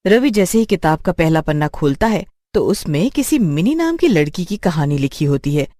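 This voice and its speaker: A woman's voice: on the low side, big pitch swings, normal speed, mellow, no echo, studio quality. A woman reading scripted narration for a children's cartoon story as its narrator.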